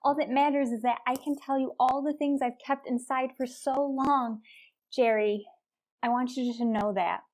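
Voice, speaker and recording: A woman's voice: high voice, fairly even intonation, normal speed, darkish tone, lightly acted. An actress playing a doomed young woman stowaway in an audio drama, tender, wistful and resigned. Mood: tearful, tender.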